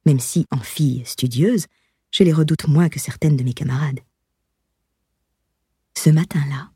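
Woman, audiobook narrator, very husky and breathy, mellow dark timbre, measured expressive reading, scripted memoir narration. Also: deep voice, dry recording, studio quality.

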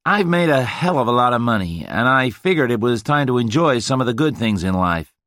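A man voicing a brash, boastful veteran, loud and amazed at himself. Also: medium pitch, big pitch swings, brisk, no echo, clean studio sound, voice pushed up. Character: brash, boastful American male Vietnam veteran.

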